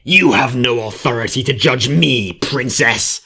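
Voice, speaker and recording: A man's voice: mid-range voice, a little slow, gravelly, bright, forceful tone, breathy edge, pitched above the performer's own voice, exaggerated voice. Guy doing an arrogant shapeshifter bug monster, snarly and defiant, fantasy audio story villain. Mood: defiant, gloating. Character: arrogant, snarling shapeshifting changeling villain, male insectoid creature.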